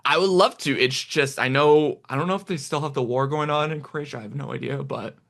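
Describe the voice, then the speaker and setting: A man's voice: on the high side, brisk, creaky, fairly bright tone. A man hosting a casual podcast interview over a remote call, often stammering and restarting his sentences. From Canada. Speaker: podcast host.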